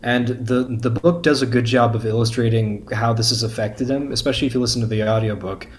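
A man's voice: mid-range voice, steady tone, brisk, a little hiss or hum. A man in a casual podcast discussion, stammering and repeating words as he searches for his phrasing. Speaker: podcast guest or panelist discussing a book.

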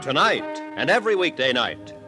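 Emphatic radio announcer man over loud music. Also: mid-range voice, sing-song, normal speed. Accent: American. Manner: emphatic, declamatory. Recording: old radio with loud brass fanfare.

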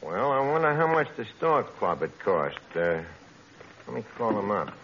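An actor playing a brash, scheming, lowbrow tavern manager with a New York accent and would-be fancy words, in 1940s radio comedy style. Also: male voice, sing-song, moderate pace, fairly bright tone. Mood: haggling. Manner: mangled grammar with pretentious fancy words, hemming. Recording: dull old radio recording, noisy.

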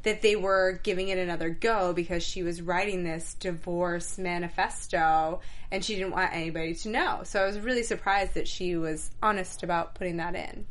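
A woman co-hosting a casual TV after-show, agreeable and quick to chime in. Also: fairly low pitch, fairly even intonation. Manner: agreeable, quick affirmations and laughs. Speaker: co-host.

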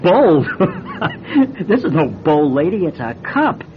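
Brash, high-pitched young racer, noisy radio play. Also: male voice, huge pitch swings, slow, exaggerated voice. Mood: excited, boastful. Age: young adult. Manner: breezy, slangy, eager. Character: brash, friendly, boastful young race car driver. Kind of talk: classic radio drama acting. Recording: noisy muffled old radio recording. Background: bus engine rumble.

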